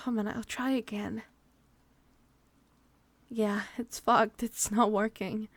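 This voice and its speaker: A woman's voice: medium pitch, level tone, slow, dry recording. A woman acting a frightened young woman stranded with her partner in an improvised horror roleplay, sighing, swearing and sometimes dropping into a whisper. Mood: uneasy, subdued. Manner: breathy sighs, drops into whispers, sweary. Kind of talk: improvised ASMR audio roleplay.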